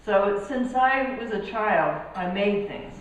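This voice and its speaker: A woman from Colombia, over sixty, giving a casual artist talk about her work, halting and restarting as she goes. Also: medium pitch, lively intonation, far from the mic. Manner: halting, with many restarts and fillers. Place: gallery hall. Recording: echoey hall, speaker off mic, faint noise.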